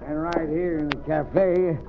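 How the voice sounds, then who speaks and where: A man's voice: a little high, fairly even intonation, a little slow, over music, close to an everyday voice. Man acting a cocky western villain marshal. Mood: cocky, threatening. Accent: American Western. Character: arrogant, cold gunfighter marshal, adult male villain. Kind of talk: old-time radio western acting. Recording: tinny old radio recording.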